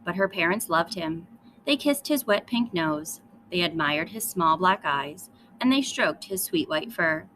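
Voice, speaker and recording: Lady teacher doing a kids book read aloud, really lively expressive voice, bit of noise in back. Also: lowish voice, a little slow, low-quality recording. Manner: addresses young listeners directly, emphatic storytelling. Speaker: third grade teacher reading aloud.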